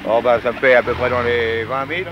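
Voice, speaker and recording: A man's voice: mid-range voice, little pitch movement, brisk. A man of sixty-five, a delivery worker answering in plain, colloquial, resigned phrases. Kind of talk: spontaneous street interview. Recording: dull 1960s archive, street noise. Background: street and car noise.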